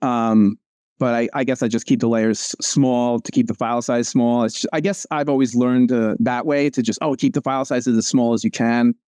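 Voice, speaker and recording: A man's voice: mid-range voice, steady tone, normal speed, a little mellow, smooth, dry recording, studio quality. A man, a comic strip cartoonist, chatting casually and rambling with lots of fillers and restarts. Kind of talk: casual chat over a video call.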